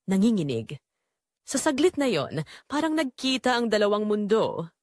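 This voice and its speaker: Animated woman narrating a dramatic story. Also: lowish voice, dry recording, clean studio sound. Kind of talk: scripted dramatic first-person narration.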